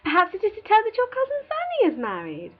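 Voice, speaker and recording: A woman voicing a nosy, gossipy older matron who teases and pries in an excitable way. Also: very high voice, fast talker, dull audio, voice pushed up, full character voice. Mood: teasing, prying. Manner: prying, teasing, excitable.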